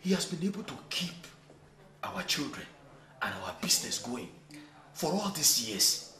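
High, swooping, domineering Nigerian father. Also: sing-song, unhurried, bright, forceful tone, a little hiss or hum, full character voice. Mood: solemn. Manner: indignant repeated rhetorical questions. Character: domineering, hot-tempered father and family chief. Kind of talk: melodramatic film acting.

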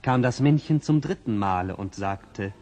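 A man's voice: mid-range voice, animated, moderate pace, a little mellow, a little hiss or hum. A man narrating a fairy tale in the scripted storytelling style of a 1960s audio play. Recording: long reverb on old record.

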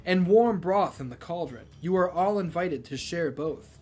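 A man voicing a calm, gracious temple priest, speaking softly but firmly in a measured, courteous way. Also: sits high, breathy edge, faint music behind, exaggerated voice. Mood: welcoming.

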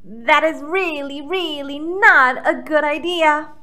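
A woman voicing a small, alarmed baby chick in a children's storybook, loud, insistent and emphatic. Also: high-pitched, takes it slowly, voice pushed up, exaggerated voice. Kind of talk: children's storybook read-aloud.

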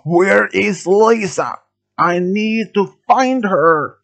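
A storyteller voicing an enraged, vengeful teenage boy who makes drawn-out, screaming demands. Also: medium pitch, gravelly, fairly bright tone, voice pushed up, full character voice. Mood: demanding, furious.

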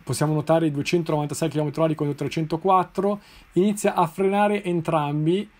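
A man in his thirties from Italy giving informal, explanatory race commentary in a measured way, often pausing mid-sentence. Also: on the high side, steady tone, darkish tone. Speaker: motorsport video host. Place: small indoor room, seated in a sim racing seat.

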